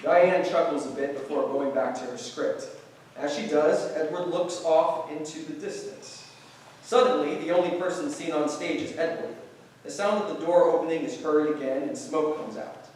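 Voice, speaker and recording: A man narrates a script with stage directions. His voice sits a little high for a man. He is heard from some distance in a strongly reverberant hall. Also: fairly even intonation, normal speed, darkish tone, a little hiss or hum. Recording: echoey hall, distant mic.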